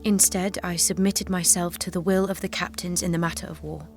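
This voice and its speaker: An actress playing a devout young warrior maiden in an audio drama, earnest, grave and restrained. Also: female voice, on the low side, fairly even intonation, brisk, darkish tone, faint music behind, lightly acted. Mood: solemn, confiding. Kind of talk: solemn audio drama acting.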